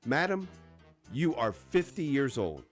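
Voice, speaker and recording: A man's voice: mid-range voice, very animated, measured pace, faint music behind. A man voicing a confident, courtly old man of seventy-eight in a joke told on the radio.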